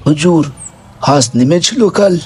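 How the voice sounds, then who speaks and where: A man's voice: a little high, expressive, measured pace, a little mellow, husky, pitched above the performer's own voice, heavily acted. A man voicing a humble, ominous old Bhil villager in an audio story. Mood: wary, deferential. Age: older.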